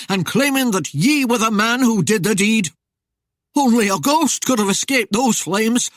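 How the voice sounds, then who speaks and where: A woman voicing a menacing male rider posing as vengeful Death, with an eerie, droning, booming voice and slow, archaic speech. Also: no echo, studio quality, lightly acted.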